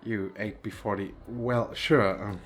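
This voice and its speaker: A man's voice: on the low side, fairly even intonation, unhurried, natural voice. A man playing a nervous, bashful, well-off young businessman on a date in an ASMR roleplay, with hesitant, stammering speech. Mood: nervous, flustered. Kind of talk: ASMR roleplay, one-sided conversation with the listener.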